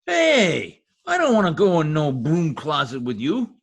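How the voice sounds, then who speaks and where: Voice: medium pitch, wildly swooping pitch, unhurried, background noise, full character voice. A performer in a 1940s-style radio comedy-mystery voicing a shady, wisecracking informant with slangy, ungrammatical talk. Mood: grumbling, indignant. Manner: streetwise slangy talk with bad grammar. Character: shady, wisecracking lowlife gangland informant nicknamed Piggy. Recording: husky-sounding home recording over video call.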